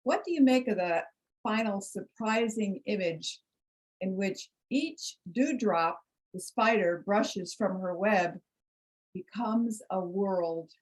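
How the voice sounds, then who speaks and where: A woman introducing and reciting poetry for a congregation, with measured, deliberate phrasing. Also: mid-range voice, rough recording. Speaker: poetry reader at a congregation service.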